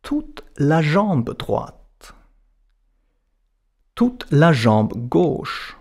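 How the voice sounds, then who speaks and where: A man guiding a relaxation as a yoga and meditation teacher, giving measured instructions in short, separated phrases. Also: fairly high pitch, big pitch swings, vocal fry, darkish tone. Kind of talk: guided relaxation narration.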